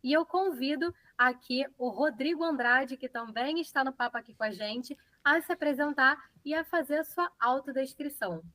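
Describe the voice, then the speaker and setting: A woman's voice: high-pitched, moderate pace, hardly any pauses, bright, forceful tone, dry recording. A woman hosting a tech podcast panel, introducing guests in a friendly, upbeat way. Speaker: podcast host. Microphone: remote call.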